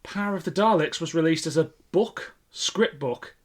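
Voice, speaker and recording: A man's voice: fairly high pitch, moderate pace. British man, casual podcast co-host. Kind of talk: casual chat about classic television sci-fi.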